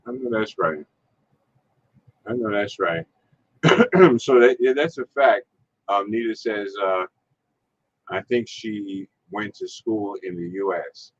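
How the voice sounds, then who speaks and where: A man's voice: lowish voice, slow pace, long pauses, husky, no echo, muffled recording. A man hosting a casual chat, laid-back and fond of repeating his agreement. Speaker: host.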